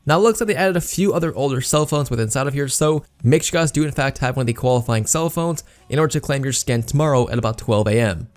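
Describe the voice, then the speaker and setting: A man's voice: mid-range voice, quick pace, hardly any pauses. A man hosting a gaming news video, explaining updates in YouTube commentary style with repeated emphasis words. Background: background music.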